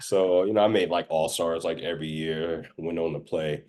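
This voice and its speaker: Male podcast guest, low voice, from the rural American South, relaxed informal storytelling. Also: normal speed. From southern United States, rural Georgia and Florida Panhandle. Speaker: interview guest, actor. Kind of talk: casual conversational storytelling.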